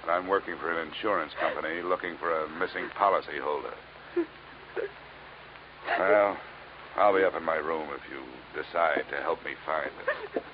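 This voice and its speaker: An actor playing a tough, sardonic American insurance investigator, wry and blunt, in a vintage radio detective drama. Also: male voice, extremely low pitch, moderate pace, long pauses. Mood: bitter, blunt. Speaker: lead detective and narrator. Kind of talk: 1950s radio detective acting. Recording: muffled, hissy old radio recording.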